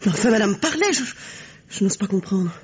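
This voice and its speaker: A woman's voice: medium pitch, lively intonation, a little fast, natural voice. An actress playing a proud young lady, alarmed and insistently defensive, in the melodramatic manner of 1950s French radio drama. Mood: distraught denial. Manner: agitated, breathlessly insistent. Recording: dull lo-fi old radio, noisy.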